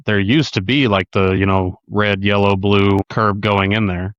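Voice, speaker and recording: A man talks casually as a podcast co-host, rambling conversationally. His lowish voice comes through dry and slightly dull, with a limited frequency range. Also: steady tone, measured pace, a bit bright, dry recording. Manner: conversational, rambling, uses "like" often. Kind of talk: casual sports chat.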